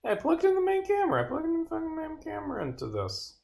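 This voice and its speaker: A man's voice: extremely high pitch, wildly swooping pitch, fast, gravelly, darkish tone. A man who develops games, talking casually on a live stream, often swearing and muttering to himself.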